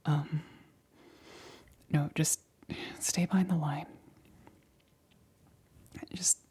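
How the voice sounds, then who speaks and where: A woman performs an awkward, shaken female scientist for an ASMR audio drama. Her voice is extremely breathy and whispery, sitting low with little melody. She speaks haltingly, stammering and hesitating while trying to sound reassuring. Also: steady tone, mellow, full character voice. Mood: shaken, insistent. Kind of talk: ASMR roleplay, whispered acting.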